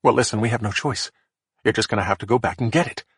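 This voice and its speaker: A man's voice: fairly low pitch, fast talker, husky, no echo, clean studio sound. A man voicing a stressed middle-aged man who hisses threats and panics on the phone, in a profane, snarling way. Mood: panicked. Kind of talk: audiobook character voice.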